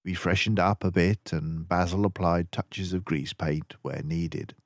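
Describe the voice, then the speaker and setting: An older man reading a children's story aloud in an expressive, unhurried way. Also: low voice, no room sound. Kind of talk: scripted narration.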